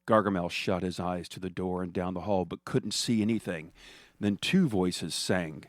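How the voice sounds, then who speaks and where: A man's voice: low voice, moderate pace, no room sound, studio quality. A man narrating a scripted comic horror story as its storyteller.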